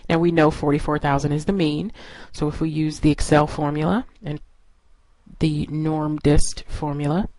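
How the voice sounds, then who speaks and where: A woman's voice: sits low, level tone, normal speed, a little mellow, no room sound, rough recording. A woman instructor narrating a lesson in an explanatory, step-by-step way. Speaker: statistics instructor.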